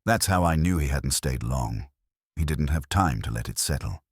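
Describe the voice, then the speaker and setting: A man's voice: extremely low pitch, lively intonation, normal speed, husky, no echo, studio quality, exaggerated voice. A man voicing a prim, sniffy, guarded English landlady who talks in clipped fragments in a mystery story. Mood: guarded, observant. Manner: clipped, terse fragments, haughty. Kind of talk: dramatised mystery audiobook.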